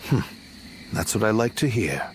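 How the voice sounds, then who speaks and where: A low-voiced, composed warrior leader. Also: male voice, very animated, moderate pace, background noise. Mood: determined. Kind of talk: video game dub acting.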